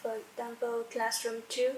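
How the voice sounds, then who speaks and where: A woman giving an unscripted step-by-step software demonstration, halting as she searches for words and repeats phrases. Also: on the high side, monotone. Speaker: software tutorial presenter. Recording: echoey room with faint background noise.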